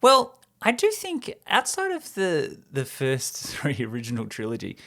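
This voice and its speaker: A man hosting a film show, giving casual commentary and an animated, slangy recap of a story. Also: fairly high pitch, unhurried. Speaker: host of a film report show.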